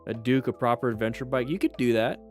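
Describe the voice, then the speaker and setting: A man hosting a livestream, chatting casually with viewers in a blunt, joking, animated manner. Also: mid-range voice, fast talker, faint music behind. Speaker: livestream host; firefighter.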